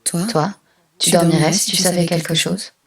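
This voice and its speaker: A woman's voice: on the low side, steady tone, moderate pace, husky. A woman performing as an insistent inner-voice narrator in an audio drama, addressing the listener directly.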